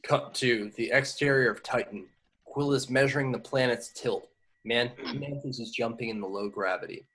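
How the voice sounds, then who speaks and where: A man with a medium-pitched voice narrates screenplay action. It is an amateur read-through, with stage directions read aloud from a script. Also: normal speed, fairly bright tone, slightly rough, rough recording.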